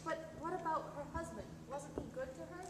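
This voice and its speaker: An innocent, easily puzzled married woman played by a woman speaks hesitantly in a high voice. It is amateur stage acting, recorded with clear noise in a big reverberant hall. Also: a little fast, close to an everyday voice. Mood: shocked wondering. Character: naive, puzzled, conventional married woman visiting. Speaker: amateur actor. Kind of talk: amateur stage-play rehearsal. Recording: distant mic, echoey hall, clearly noisy.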